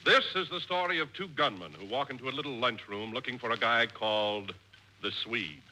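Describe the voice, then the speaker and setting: A man's voice: mid-range voice, animated, brisk, bright, forceful tone, poor audio. A man giving dramatic scripted narration as a 1940s radio announcer. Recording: old radio broadcast, faint noise.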